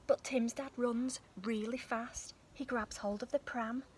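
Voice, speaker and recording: A woman reading a children's picture book aloud outdoors in a park, in a soft, breathy storytelling voice with gasps and sudden shouts. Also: a little high, fairly even intonation, measured pace, slight background noise. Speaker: storybook reader.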